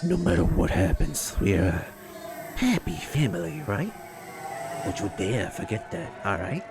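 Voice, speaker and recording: A man's voice: lowish voice, big pitch swings, measured pace, heavy background noise. A man voicing a volatile, abusive middle-aged man who swings between snarling and a falsely sweet tone. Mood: menacing.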